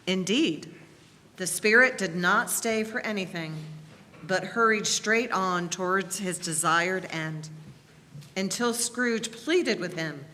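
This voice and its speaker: A woman giving a deliberate, expressive dramatic narration of a story at a staged reading. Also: medium pitch. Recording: reverberant hall, faint background hum.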